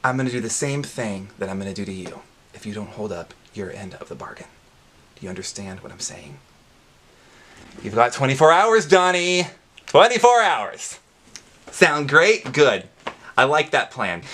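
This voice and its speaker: An actor playing a menacing, sarcastic male drug supplier in a crime drama, theatrical and threatening. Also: mid-range voice. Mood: angry, mocking. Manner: theatrical, sarcastic, swings from hushed threats to shouting. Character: menacing, mocking male drug supplier, old friend turned boss. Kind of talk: dramatic screen acting.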